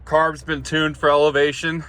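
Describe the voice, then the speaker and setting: A man giving a casual walkaround sales pitch as a truck seller, plain-spoken and in short clipped phrases. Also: level tone, normal speed, a bit bright, slight background noise. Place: outdoors around a truck and inside it while driving.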